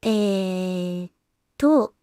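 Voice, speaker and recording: A woman giving casual, musing commentary as she edits, half talking to herself in unhurried asides. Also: medium pitch, dark tone, smooth, dry recording, studio quality. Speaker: solo creator and voice actor.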